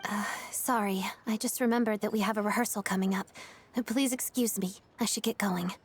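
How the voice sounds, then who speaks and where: A woman's voice: medium pitch, fairly even intonation, fairly quick, breathy edge. A woman voicing a polite, principled young woman in an anime game, formal, courteous and reserved. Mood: flustered.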